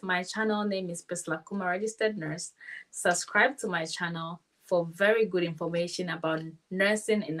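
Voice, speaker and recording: A woman's voice: on the low side, fairly bright tone. A woman in her thirties from Ghana with a Ghanaian accent, a nurse explaining things confidently as an interview guest. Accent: West African, Ghanaian.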